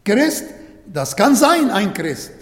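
A man preaching a sermon in German that is not his first language, slowly and emphatically, repeating words and restarting phrases. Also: sits high, very animated, a bit bright. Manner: halting non-native German, repeats phrases, slow and emphatic. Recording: echoey hall with long reverb.

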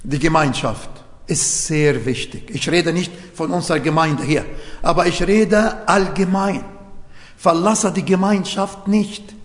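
Slow, high-voiced Arabic-speaking preacher in a hall. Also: male voice. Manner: non-native German with grammar slips, rhetorical questions. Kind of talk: sermon. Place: church hall. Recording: echoey hall with long reverb.

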